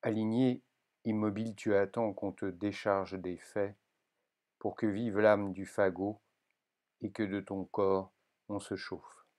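A man with a fairly low voice gives a solemn poetry reading. He speaks very slowly and deliberately, setting short phrases apart with long pauses. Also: little pitch movement, darkish tone. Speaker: poetry reader.

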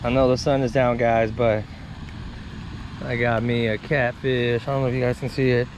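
A man giving casual running commentary to viewers while fishing at a park pond, getting excited over each catch. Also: medium pitch, moderate pace. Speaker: fishing vlogger. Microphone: head-mounted action camera. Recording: outdoor camera audio with noise.

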